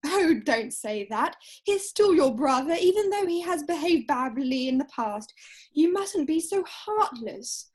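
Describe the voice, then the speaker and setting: A woman's voice: high voice, lively intonation, measured pace. A woman playing a sweet, bossy young Victorian girl, effusive and coaxing, in an amateur play reading. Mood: pleading, insistent. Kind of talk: amateur play read-through.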